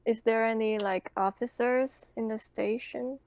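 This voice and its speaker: A woman's voice: fairly high pitch, steady tone, a little slow, darkish tone, slight background noise. A woman chatting casually as a player in a tabletop roleplaying game, speaking in character in her everyday way.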